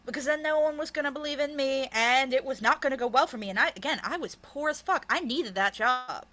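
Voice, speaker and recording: A woman's voice: high voice, brisk, sharp tone. A woman in a candid, casual interview, talking in a blunt, emphatic and often sweary way. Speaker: interviewed writer and podcast creator.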